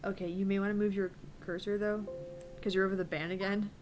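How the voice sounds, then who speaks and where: Mid-pitched woman chatting casually on stream. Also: flat delivery, quick pace, a little mellow, soft background music. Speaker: co-host.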